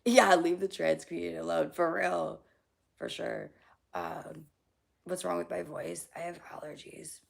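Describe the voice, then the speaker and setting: Hoarse young woman livestreaming, gravelly and low. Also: level tone, a little slow. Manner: casual, blunt, swears, many fillers, drifts into whispers. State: hoarse, scratchy throat from allergies. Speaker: livestreamer answering chat. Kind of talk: casual live-stream chat.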